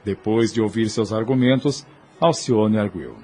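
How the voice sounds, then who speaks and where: A man's voice: fairly low pitch, a little slow, warm tone. A Brazilian man narrating an audio drama in formal, literary storytelling language.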